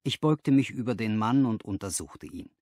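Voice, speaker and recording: A man's voice: lowish voice, expressive, normal speed, darkish tone, no echo, clean studio sound. A man reading a story aloud as scripted literary narration. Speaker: audiobook narrator.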